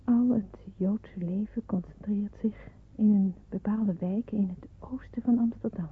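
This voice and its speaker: An actress playing a grave young woman narrating a radio drama in the first person, hushed and measured. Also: mid-range voice, steady tone. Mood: grave, somber. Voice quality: very breathy, hushed. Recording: muffled phone-like old radio recording.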